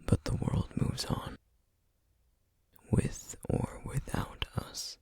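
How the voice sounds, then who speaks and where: A man playing a protective, duty-bound flame demon in an ASMR roleplay, speaking in a hushed, gravelly, halting and intense way. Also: no echo, studio quality. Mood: somber, reassuring. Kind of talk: ASMR roleplay, scripted character acting.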